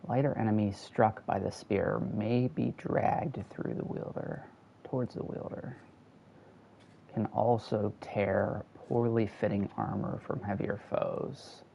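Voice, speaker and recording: A man with a low, gravelly, slightly raspy voice chats casually through a walkthrough while he plays. He stays close to the mic and keeps his voice soft. A faint noise floor sits under the recording. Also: steady tone, slow pace, dull audio. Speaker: gaming walkthrough host. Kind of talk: casual live gameplay chat. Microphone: console headset or close mic.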